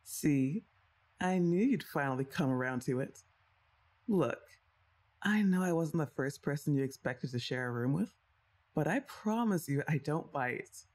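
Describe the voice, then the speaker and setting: A woman's voice: low-pitched, expressive, unhurried, darkish tone. Woman playing a chatty, teasing older sister. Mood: playful. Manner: chatty, teasing, laughs often. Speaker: voice actress. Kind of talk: ASMR roleplay addressed to the listener.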